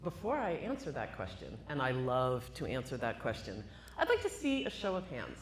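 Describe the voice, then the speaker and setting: A woman neurologist speaking live to an audience in a room, with a loud, emphatic voice and rhetorical questions. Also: rumbling low, wildly swooping pitch, moderate pace, slight background noise. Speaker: cognitive neurologist guest. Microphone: handheld microphone.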